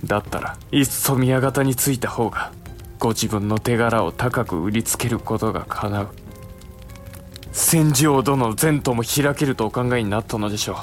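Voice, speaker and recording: An actor playing the earnest, outspoken younger brother of a samurai lord, in formal, polite archaic speech with music behind, in a period audio drama. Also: male voice, mid-range voice, normal speed, lightly acted. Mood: probing, reproachful.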